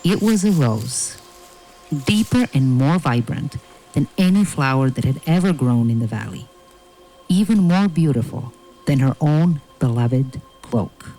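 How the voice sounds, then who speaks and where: A man narrating a fairy tale from a script in a measured, dramatic, deliberate way. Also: medium pitch, expressive, warm tone, soft background music, poor audio.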